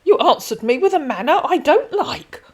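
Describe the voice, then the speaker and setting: Brief: a wheedling, stilted con man who turns bullying, played by a woman reader; high, swooping and overdone. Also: big pitch swings, normal speed, darkish tone. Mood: pushy. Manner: stilted phrasing, syrupy darlings, sudden pushy demands. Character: pushy male online romance scammer, wheedling then bullying. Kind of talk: read-aloud storytelling.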